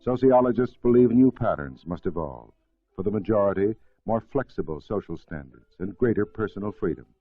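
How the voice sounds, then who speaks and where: A man reading scripted educational film narration in a formal, measured way. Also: lowish voice, lively intonation, breathy edge, no echo, faint music behind. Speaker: documentary narrator. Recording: narrow-band vintage film soundtrack.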